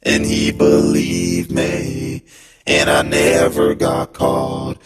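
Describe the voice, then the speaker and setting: A man tells a personal story in an extremely deep, effect-altered voice. His delivery is slow and crackles with gravel, while loud music plays behind him. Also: steady tone. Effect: pitch-lowering voice effect. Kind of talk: personal anecdote, slow and drawn-out. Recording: loud music over processed voice.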